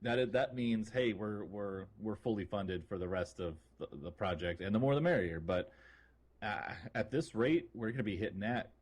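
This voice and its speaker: A man's voice: lowish voice, lively intonation, moderate pace, a little mellow, breathy edge. A man co-hosting a gaming podcast, chatting informally in a joking way. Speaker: podcast co-host. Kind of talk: casual gaming chat.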